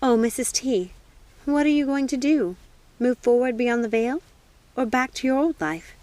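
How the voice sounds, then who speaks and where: An earnest young witch from a storybook, voiced by a woman reader. She speaks in a fairly high voice with a tender, questioning manner. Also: expressive, moderate pace, a little mellow, dry recording. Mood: concerned.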